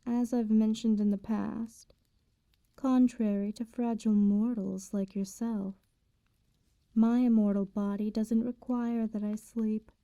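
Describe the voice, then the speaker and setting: A woman playing an immortal vampire in an ASMR roleplay, speaking softly and formally, with condescending tenderness and quiet menace. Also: fairly high pitch, flat delivery, dry recording. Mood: tender, menacing. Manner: formal archaic diction, unhurried, teasing. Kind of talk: slow ASMR roleplay, intimate bedtime storytelling.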